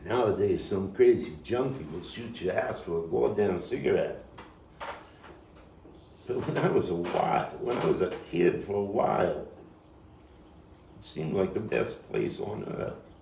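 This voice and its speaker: Man acting nostalgic streetwise Brooklyn guy, stage monologue, slow deliberate delivery, very dynamic pitch, theatrical pauses, baseball-fan storyteller. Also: lively intonation, vocal fry, heavily acted. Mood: wistful, gritty. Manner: theatrical, deliberate pauses, swinging from hushed to shouted. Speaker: actor and playwright performing his own monologue. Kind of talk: dramatic stage monologue at a table read. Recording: roomy, distant mic with faint noise.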